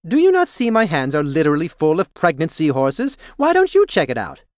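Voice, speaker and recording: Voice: medium pitch, huge pitch swings, fairly quick, no room sound, clean studio sound. An actor voicing a nerdy, easily exasperated aquarium biologist in a comic video game, with theatrical, exclamatory delivery. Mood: uneasy, grumbling.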